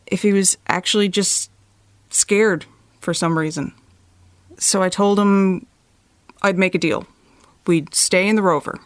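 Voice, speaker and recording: An actress playing a cautious, uneasy woman who gives a measured, careful account in a horror audio drama. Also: lowish voice, no echo. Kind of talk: first-person horror audio drama monologue.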